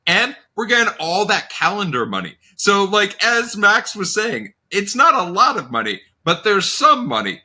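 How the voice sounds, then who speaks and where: Bright, animated man chatting on a podcast. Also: sits high, normal speed, hardly any pauses. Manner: animated, informal, profane. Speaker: podcast host. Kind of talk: casual comedic podcast chat.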